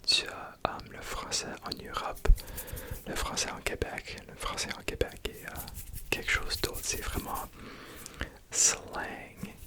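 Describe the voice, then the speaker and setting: Young Franco-Ontarian man, unhurried ASMR whisper, soft and gentle throughout. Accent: Franco-Ontarian French. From Ontario, Canada. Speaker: ASMR creator.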